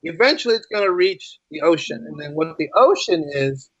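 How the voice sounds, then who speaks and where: Man with higher-pitched voice, dull audio. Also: animated, moderate pace, slightly rough, dry recording. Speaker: podcast guest, writer. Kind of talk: casual interview chat.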